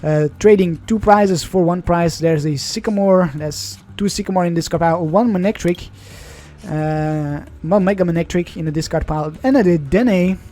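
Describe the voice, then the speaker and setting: A man's voice: high-pitched, moderate pace, dark tone. A young man whose first language is Dutch, casually commenting on an online card game in a chatty, rambling way, often correcting himself. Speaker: gaming channel host.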